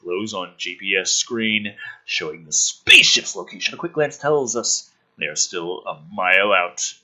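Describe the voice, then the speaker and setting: A man's voice: low voice, expressive, normal speed, bright, forceful tone, rough recording. A man dramatically reading a script aloud as host, with sarcastic, sweary asides thrown in.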